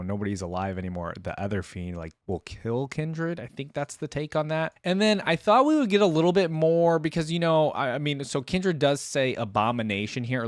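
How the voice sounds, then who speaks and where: A man's voice: mid-range voice, no echo, clean studio sound. A man giving casual reaction commentary as a video host, musing aloud and asking questions as he goes.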